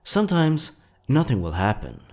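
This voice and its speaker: A man narrating a horror story in a measured, suspenseful, dramatic way. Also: medium pitch, wildly swooping pitch, a little mellow.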